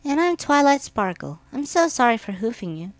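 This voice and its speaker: A man's voice: very high-pitched, big pitch swings, moderate pace, no room sound, pitched above the performer's own voice, full character voice. A man voicing polite young female cartoon ponies in a story reading. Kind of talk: amateur dramatic reading of fan fiction.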